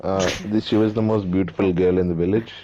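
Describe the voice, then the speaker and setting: A man's voice: low voice, steady tone, fast talker, warm tone, natural voice. A man playing a boastful man from Delhi who tells a rambling comic tale of his teenage years, laughing at his own jokes. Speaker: storyteller. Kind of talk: comedy sketch monologue. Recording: dull, faintly noisy recording.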